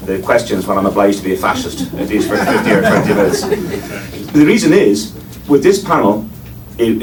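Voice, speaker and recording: An Irish man chairing a panel, introducing speakers with wry asides and many hesitations. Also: lowish voice. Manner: wry, digressive, with frequent hesitations. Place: lecture room before an audience. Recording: distant mic, faint noise.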